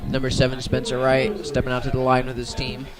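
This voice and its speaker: A man doing live radio play-by-play of a high school football game in clipped, descriptive phrases. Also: completely flat delivery, brisk, a crowd in the background. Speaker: play-by-play sports commentator.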